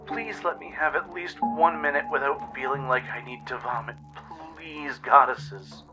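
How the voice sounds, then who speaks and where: A man's voice: medium pitch, steady tone, unhurried, over music, pitched above the performer's own voice, natural voice. A man voicing a small young mare pony, irritable and anxious, in a radio play. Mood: queasy, pleading.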